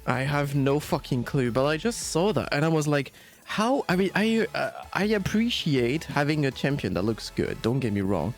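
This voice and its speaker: A man's voice: on the high side, big pitch swings, normal speed, few pauses, faint music behind. A man in a casual podcast chat, talking as a panelist in an exasperated, sweary way.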